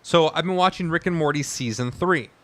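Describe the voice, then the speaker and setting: A man's voice: on the high side, brisk, fairly bright tone. A man co-hosting and producing a podcast, teasing his co-host and arguing back, with some swearing. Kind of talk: casual chat.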